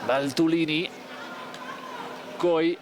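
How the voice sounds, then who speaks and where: An English-accented man calls a football match play by play in a measured, analytical way. His voice sits a little high. A loud crowd and heavy noise from the venue fill the background. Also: steady tone. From Spain. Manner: measured, analytical calling of the action. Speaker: football commentator. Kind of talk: play-by-play commentary.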